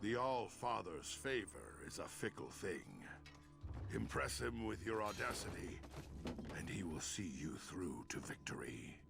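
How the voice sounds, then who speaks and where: A man playing a battle-hardened Viking raider, narrating his clan's saga in a grave, deliberate, portentous way for a game. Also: fairly low pitch, gravelly. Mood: solemn. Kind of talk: dramatic scripted game narration.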